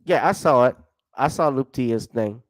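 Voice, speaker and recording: A man's voice: mid-range voice, animated, measured pace, no echo. A middle-aged man hosting a casual livestream chat, talking in a conversational, opinionated way. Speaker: talk show host.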